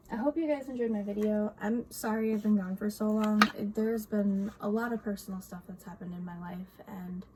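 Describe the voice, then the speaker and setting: Female vlogger, mild cold rasp, relaxed soft delivery, hesitant ums, faint room noise. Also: medium pitch, poor audio. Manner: soft-spoken, frequent ums and small laughs. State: slightly scratchy from a cold. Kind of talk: casual journal walkthrough. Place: home room with outside noise.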